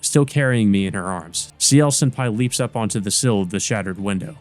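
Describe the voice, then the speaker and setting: A man's voice: on the low side, brisk, faint music behind, close to an everyday voice. A man playing a weary, wounded teenage boy who narrates his own visual novel story in the first person. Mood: grave.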